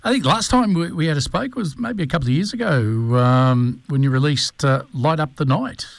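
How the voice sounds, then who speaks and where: A man's voice: a little high, expressive, moderate pace, gravelly, no room sound. A man with an Australian accent hosting a morning radio show, chatting matily with a guest and filling gaps with lots of ums and uhs. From Hunter Valley, New South Wales, Australia. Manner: matey, chatty, many fillers. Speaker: radio host. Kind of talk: casual radio interview.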